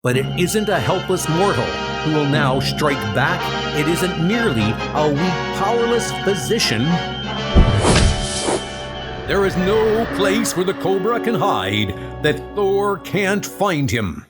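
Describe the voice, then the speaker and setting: A man's voice: sits high, big pitch swings, takes it slowly, loud music behind the voice, pitched above the performer's own voice, exaggerated voice. A man playing a noble, resolute thunder god, speaking in an earnest, declamatory way for a comic-book dub.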